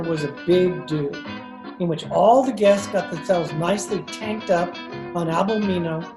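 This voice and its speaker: A woman's voice: fairly low pitch, reverberant, low-quality recording. A woman playing a wry male adventurer and con man in an old-time radio drama, recorded over a video call.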